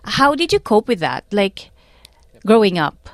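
Lively woman host, animated pitch. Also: measured pace, dry recording. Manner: lively, mixes English and Filipino phrases. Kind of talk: casual interview.

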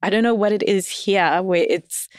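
A woman's voice: mid-range voice, normal speed, clear, resonant tone, dry recording, clean studio sound. A woman from Zimbabwe chatting candidly as a podcast guest, laughing often and hedging her opinions. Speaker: guest writer. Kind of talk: casual podcast chat.

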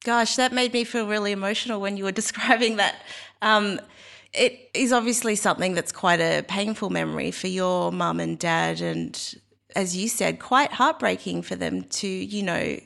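A woman's voice: medium pitch, moderate pace, creaky, a bit bright. A woman hosting a conversational interview, informal and empathetic as she responds to her guest. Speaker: interview host.

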